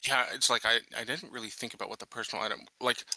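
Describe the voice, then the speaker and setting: A man's voice: medium pitch, steady tone, very fast, bright, forceful tone, no room sound, rough recording. A man playing a tabletop roleplaying game, talking casually in and out of character and hesitantly restating himself.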